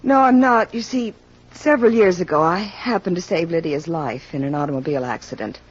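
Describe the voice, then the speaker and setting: An actress playing a candid, composed shopkeeper in an old radio crime drama, explaining earnestly with slight hesitation. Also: female voice, fairly low pitch, brisk, husky. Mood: candid, reflective. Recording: dull lo-fi old radio recording.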